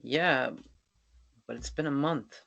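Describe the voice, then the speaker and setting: Actor as a gentle, hesitant man, crisp tone. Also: medium pitch, heavily acted. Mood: worried. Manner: halting, soft-spoken. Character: gentle, hesitant, heartbroken man comforting his partner. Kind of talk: acted screenplay table read.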